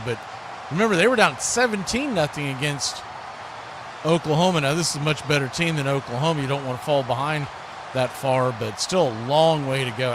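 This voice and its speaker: A man doing live football play-by-play in an excitable, conversational way, like a watch party host. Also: on the high side. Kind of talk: live football commentary and fan chat. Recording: clearly noisy live stream.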